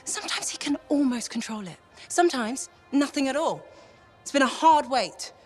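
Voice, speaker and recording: Haunted veteran woman, weary, solemn, slightly high voice, faint music behind, video game drama. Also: expressive, moderate pace.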